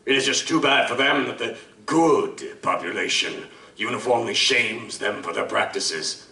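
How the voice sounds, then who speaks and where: Savage, gloating male fiend for radio-style drama: gravelly, grandiloquent menace, forceful edge, recorded in a roomy live space. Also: medium pitch, expressive, unhurried, full character voice. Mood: menacing, philosophical. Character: savage, articulate monstrous male killer, gloating and contemptuous. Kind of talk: live audio drama acting. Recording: roomy live stage, distant mic.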